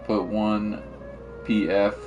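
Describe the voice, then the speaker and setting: Low-pitched, rambling male game streamer. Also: flat delivery, very slow, lo-fi sound, over music. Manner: rambling, teasing, slangy. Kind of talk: casual chat while playing.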